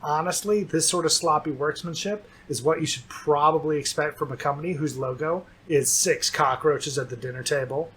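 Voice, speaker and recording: A man's voice: a little high, normal speed, few pauses, a bit bright, slight background noise. A man co-hosting a comedy news show, delivering jokes dryly and teasing his co-host. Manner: dry joking delivery, playful teasing. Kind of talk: scripted comedy news banter.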